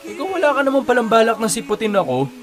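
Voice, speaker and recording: A man's voice: high voice, very animated, rapid-fire, faint music behind. An actor playing a young man confessing long-hidden love in a romantic radio drama, pleading and hesitant, often repeating his phrases. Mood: hurt, indignant.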